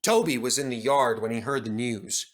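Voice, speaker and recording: A man narrating a storybook in an expressive, lively way. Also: medium pitch, moderate pace. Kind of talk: storybook reading.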